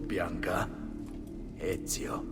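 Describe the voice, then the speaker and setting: An actor playing a bold, hot-blooded Italian mercenary captain in a video game, with an Italian accent and blustery, dramatic delivery. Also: male voice, sits low, fairly even intonation, drawn-out words. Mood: anxious, then tender. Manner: blustery, dramatic, swinging in emphasis. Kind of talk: video game cutscene acting. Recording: long reverb, music behind.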